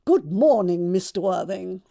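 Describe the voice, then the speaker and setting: An actress playing an imperious, haughty upper-class English society matron, pompous and emphatic, in an audio production of a stage comedy. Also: female voice, on the low side, animated, gravelly, dark tone. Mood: indignant. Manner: pompous, emphatic, deliberate enunciation.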